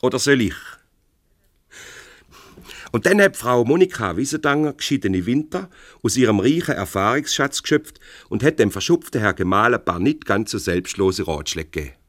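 A man's voice: medium pitch, expressive, moderate pace, fairly bright tone, no room sound, studio quality, lightly acted. A man playing a sceptical, needling confidant in a Swiss German radio comedy, with a sardonic, admonishing way of talking. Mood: mocking. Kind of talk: naturalistic 1970s radio comedy acting.

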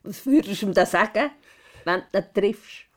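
An actress portrays an old grandmother in a children's radio play. She speaks Swiss German at an ordinary female pitch, down-to-earth and knowing, with a dry teasing edge. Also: animated, moderate pace, close to an everyday voice. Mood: knowing, calm.

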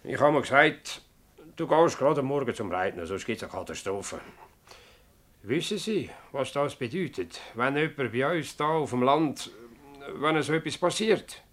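Animated, evasive Swiss German village mayor. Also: male voice, mid-range voice. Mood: earnest, agitated. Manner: hedging, emphatic, halting when pressed. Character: evasive, agitated village mayor under questioning. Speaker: actor in a dialect radio play. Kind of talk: dialect radio drama acting.

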